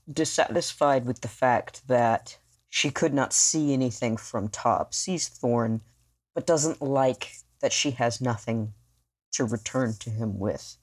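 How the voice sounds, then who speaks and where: A player narrating a tabletop role-playing game in halting, thoughtful, improvised phrases. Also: medium pitch.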